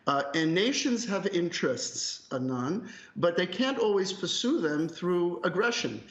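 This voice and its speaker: A man's voice: high voice, animated, a little slow, a bit bright. A man speaking as an academic guest analyst on live news, conversational but emphatic, opinionated and direct. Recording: roomy echo, slightly muffled call audio.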